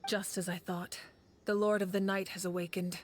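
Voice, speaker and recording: An actress playing a composed, knowing female leader in a video game, with a measured, poised delivery. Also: medium pitch, level tone, lightly acted. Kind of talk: scripted video game voice acting.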